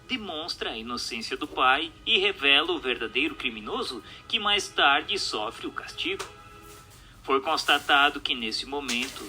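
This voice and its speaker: A man's voice: mid-range voice, sharp tone. A man with a Brazilian accent reading a religious text as scripted narration in a formal, didactic way.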